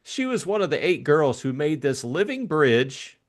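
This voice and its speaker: A man performs an upper-class young Englishman from a classic mystery audiobook, simple-hearted and eager to please. He gushes and rambles in breezy slang, with very lively swings in pitch. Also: unhurried. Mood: enthusiastic rambling. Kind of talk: audiobook character acting.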